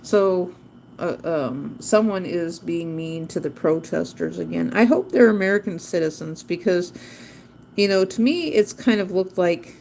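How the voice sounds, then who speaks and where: A woman's voice: lowish voice, a little slow, gravelly, darkish tone, a little hiss or hum, low-quality recording. A woman hosting a livestream, chatting casually and rambling off topic, often spelling words out letter by letter. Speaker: livestream host teaching drawing.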